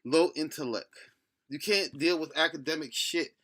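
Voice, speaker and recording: Middle-aged man, bright lisping voice, ranting. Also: a little high, moderate pace. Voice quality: lisp. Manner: blunt, cursing, digressive, often breaking off mid-thought. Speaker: solo video blogger. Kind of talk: rambling opinion rant to camera.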